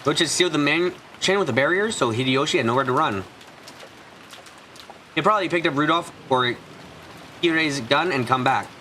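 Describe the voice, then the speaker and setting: A man's voice: fairly high pitch, normal speed, long pauses, sharp tone, a little hiss or hum. A man streaming a visual novel, reading the narration aloud and sometimes stumbling over words.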